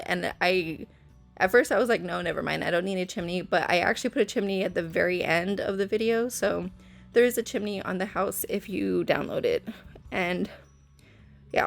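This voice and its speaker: A woman's voice: mid-range voice, gravelly. A young woman chatting nervously in a voice-over recorded on her phone in her bedroom, rambling with lots of fillers and restarts. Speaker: Sims speed build creator. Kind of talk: casual voice-over chat. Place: bedroom at home. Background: soft background music.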